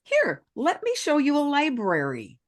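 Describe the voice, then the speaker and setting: A woman in her sixties with a fairly high, very lively voice that rises and falls. She speaks slowly and reflectively, taking her time over each thought. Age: 60s. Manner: slow and reflective, lots of agreeing interjections. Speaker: podcast co-host, spiritual healer. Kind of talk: casual chat.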